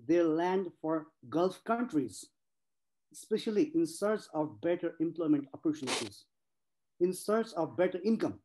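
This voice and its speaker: A man's voice: high voice, mellow. A man in his forties from Nepal, giving an earnest personal talk as a speaker at an online panel, stressing points through repetition. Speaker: conference speaker.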